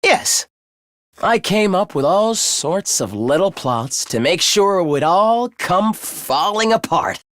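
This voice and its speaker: A man's voice: high voice, expressive, slow, fairly bright tone, no echo, studio quality, full character voice. A man voicing a young male student in an anime game, sweetly idealistic yet secretly sinister, with a light, polite, theatrical delivery. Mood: cold, gloating.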